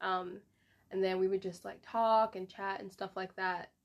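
A woman's voice: mid-range voice, little pitch movement, unhurried. A young woman, a podcast guest, telling how she met her fiancé in a casual way with lots of "like" and "um". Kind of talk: casual interview chat.

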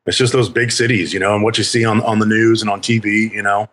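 A man's voice: lowish voice, fairly even intonation, fast talker, a bit bright. A man in his thirties from California, a podcast guest telling stories in a rambling way with many fillers. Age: 30s. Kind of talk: casual storytelling chat.

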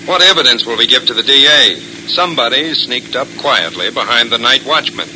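An actor playing a sensible, methodical American police detective in an old radio drama, speaking in a crisp, reasoned way. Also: male voice, medium pitch, animated, fairly quick, bright, forceful tone, slight background noise, rough recording. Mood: skeptical, firm. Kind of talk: 1940s radio drama acting.

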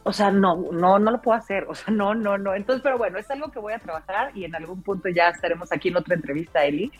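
A Mexican woman in her thirties with a deep, full-bodied voice, telling personal stories casually as an interview guest, in an expressive way. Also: fast. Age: 30s. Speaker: interview guest, radio announcer. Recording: dull lo-fi sound, music underneath.

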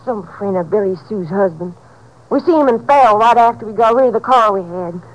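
A woman's voice: medium pitch, brisk, close to an everyday voice, low-quality recording. An actress playing a sullen, resentful, uneducated girl delinquent with a rural Southern accent, in 1950s radio drama style. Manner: folksy ungrammatical drawl. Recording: old 1950s radio recording.

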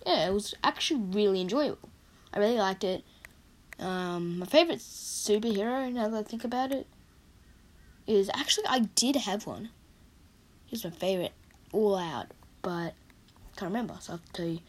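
A woman's voice: medium pitch, animated, normal speed, pauses often. A young woman chatting casually about her favorite movies, rambling and hesitant with lots of fillers.

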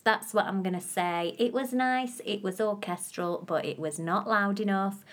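A woman's voice: mid-range voice, normal speed. A woman co-hosting a podcast in casual chat, teasing her partner playfully. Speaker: podcast co-host.

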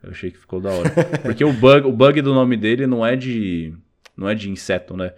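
A man with a Brazilian accent hosts a podcast in casual chat. His voice is on the low side, and he speaks informally with plenty of everyday slang. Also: brisk. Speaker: podcast host.

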